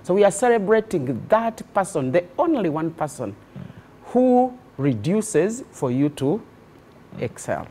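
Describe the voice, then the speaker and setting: A woman's voice: fairly low pitch, very animated, a little slow, dark tone, a little hiss or hum. A woman, a teacher education registrar, speaking as a guest on a TV talk show with impassioned, preacherly phrasing. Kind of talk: TV studio interview. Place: TV studio set.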